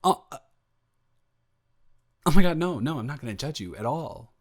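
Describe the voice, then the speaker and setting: A man playing a smitten, playful young roommate in an improvised romantic audio roleplay, talking in a halting, self-correcting, jokey ramble. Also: on the high side, expressive. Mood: smitten, flustered.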